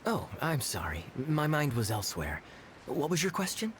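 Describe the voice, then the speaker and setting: A suave, sly, animated young captain. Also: male voice, on the high side, moderate pace, a little hiss or hum. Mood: apologetic. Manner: smooth, wry, mock-wounded.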